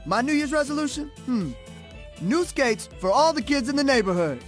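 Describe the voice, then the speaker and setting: A man's voice: very high-pitched, sing-song, normal speed, soft background music. A man playing an upbeat, generous young musician in a video game. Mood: cheerful.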